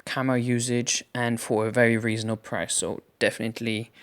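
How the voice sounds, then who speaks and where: A man narrating an unboxing product review in a measured, explanatory way, phrase by phrase. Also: mid-range voice, flat delivery. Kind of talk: unboxing review narration over hand-held footage.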